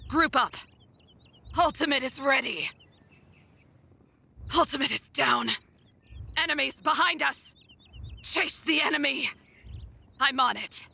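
A woman voicing a haughty, menacing sorceress in a video game, theatrical and breathy. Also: high-pitched, pushed and bright, exaggerated voice. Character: sinister, haughty dark sorceress with godlike contempt. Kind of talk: video game character voice lines.

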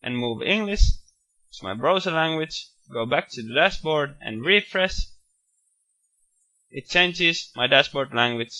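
Bright-voiced man narrating a software tutorial. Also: on the high side, lively intonation, measured pace, poor audio. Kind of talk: screencast walkthrough narration.

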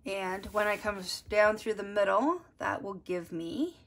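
A woman's voice: mid-range voice, measured pace, fairly bright tone. A woman art teacher giving a step-by-step drawing lesson, explaining as she works and thinking aloud. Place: desk, overhead camera on drawing.

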